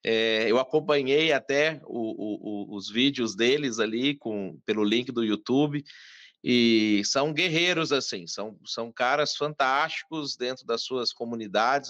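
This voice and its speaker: A man in his thirties from Minas Gerais, a pastor and guest, telling his story in a measured, earnest way with frequent restarts. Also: medium pitch, a bit bright, dry recording, dull audio. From Brazil, Minas Gerais. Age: 30s.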